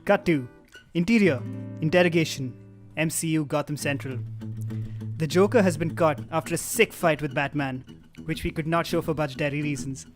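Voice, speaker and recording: A man narrating stage directions from a script in a deadpan, wry way, with comic asides. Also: fairly high pitch, moderate pace. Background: live guitar music.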